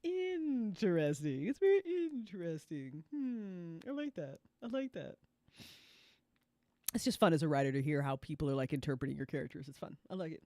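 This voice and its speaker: A woman's voice: deep voice, lively intonation, moderate pace, warm tone, dry recording. A woman hosting a casual group chat in an informal, sweary way, often repeating phrases for emphasis. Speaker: after-show host and fiction podcast writer.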